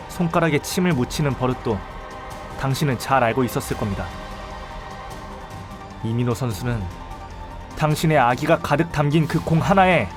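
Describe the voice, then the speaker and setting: A man plays a young student detective in an audio drama, relentless and self-possessed. His mid-pitched delivery is deliberate and formally polite, with dramatic weight. Soft music plays behind him. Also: lightly acted. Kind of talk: audio drama acting with first-person narration.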